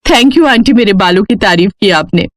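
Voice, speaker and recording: A woman's voice: mid-range voice, expressive, normal speed, dry recording, studio quality, close to an everyday voice. A woman voicing a vain, bossy young bride in a moral storybook tale, haughty as she orders her elders around. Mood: smug. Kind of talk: Hindi moral storybook character voice.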